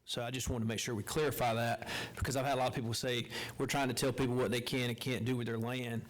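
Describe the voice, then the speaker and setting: A man's voice: medium pitch, flat delivery, fast. Man, council member; earnest and clarifying. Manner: earnest, repeats points to clarify. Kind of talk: council meeting remarks.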